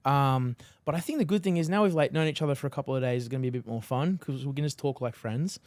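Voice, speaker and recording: A man's voice: mid-range voice, quick pace, a little mellow, no echo. A man hosting a podcast interview, chatting casually and rambling with lots of filler words. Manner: casual and rambling, many fillers, laughs between phrases. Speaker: podcast host.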